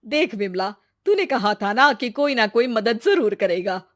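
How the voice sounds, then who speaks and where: A woman's voice: fairly high pitch, big pitch swings, measured pace, a bit bright. A woman playing a curious, chatty, helpful village woman with an inquisitive, colloquial manner, in a cartoon moral story. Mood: encouraging.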